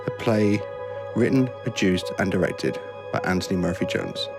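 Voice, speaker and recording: Deep male announcer introducing a radio play, music playing behind. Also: moderate pace. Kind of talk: scripted radio drama introduction.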